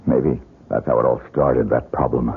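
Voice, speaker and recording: A troubled young man, brooding and bitter, in an old radio mystery. His low, very husky voice moves in dramatic, halting swings on a muffled lo-fi recording. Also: fairly even intonation, heavily acted.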